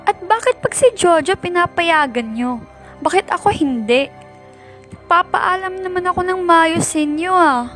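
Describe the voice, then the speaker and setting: A very high-pitched teenage girl, acted by a woman, rebellious and resentful, argues her side in an amateur Filipino radio drama. Music plays behind her. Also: lively intonation, moderate pace, a bit bright. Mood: resentful, argumentative. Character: rebellious, resentful teenage daughter, defiant toward mother. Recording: music bed behind dialogue.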